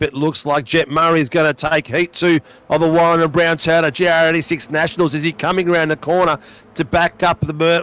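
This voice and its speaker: A man with a hoarse voice calling a sim race with excited, rapid play-by-play. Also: a little high. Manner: fast, exclamatory, calls names rapidly. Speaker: race commentator.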